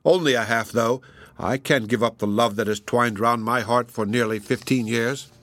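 A man's voice: medium pitch, fairly even intonation, moderate pace, fairly bright tone, no echo, natural voice. A man voicing a solemn, upright middle-aged sea captain in formal, earnest audiobook dialogue.

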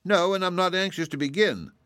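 A man's voice: sits high, fairly quick, bright, forceful tone, dry recording, clean studio sound, pitched above the performer's own voice. A man voicing a contrary, grumbling pet kitten in a storybook, protesting bluntly.

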